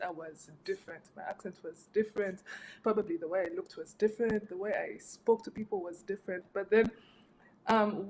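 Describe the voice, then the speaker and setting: A woman panelist speaks in a reflective, measured way in a middling pitch. Faint room noise hangs behind her voice. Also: low-quality recording.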